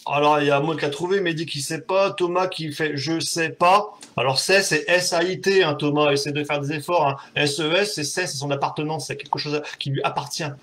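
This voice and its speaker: Male live-stream host, slightly high voice, chatty and self-mocking; home desk mic with faint hiss. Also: steady tone, brisk, few pauses, fairly bright tone. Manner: rambling, self-mocking, many fillers. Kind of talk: casual live chat with viewers. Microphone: desk mic, typing audible.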